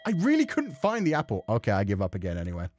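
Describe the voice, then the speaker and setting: A man's voice: on the low side, wildly swooping pitch, racing, background noise. A man doing casual let's play commentary, muttering sweary asides and reading bits of game text aloud to himself. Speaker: gaming streamer.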